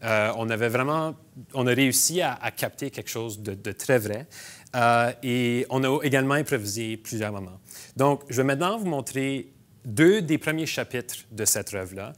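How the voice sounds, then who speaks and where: Franco-manitoban guy giving a talk, lots of pauses and euhs, mid pitch. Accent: Franco-Manitoban French. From Manitoba, Canada. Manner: measured, with frequent hesitations and restarts. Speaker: filmmaker giving a lecture. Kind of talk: university lecture.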